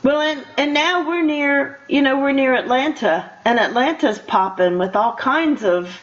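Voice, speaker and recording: Higher-voiced older woman hosting, muffled sound. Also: a little slow. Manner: encouraging, repeats herself. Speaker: radio show host. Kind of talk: conversational interview. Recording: muffled radio interview sound.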